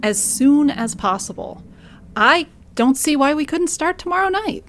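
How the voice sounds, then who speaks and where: A woman's voice: sits high, expressive, unhurried, fairly bright tone, slight background noise, full character voice. A woman playing a caring, resolute mother creature and leader in an audio drama.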